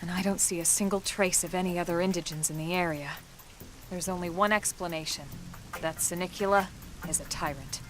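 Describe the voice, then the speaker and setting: Woman playing a low, composed squad leader. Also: fairly even intonation, natural voice. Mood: grave. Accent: American. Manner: measured, matter-of-fact, authoritative. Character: composed, cautious veteran female squad leader, serious. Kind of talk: video game cutscene acting. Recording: loud game music behind the voice.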